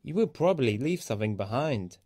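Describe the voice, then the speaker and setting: Man voicing a teasing, lively know-it-all steam engine. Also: mid-range voice, brisk. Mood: scolding.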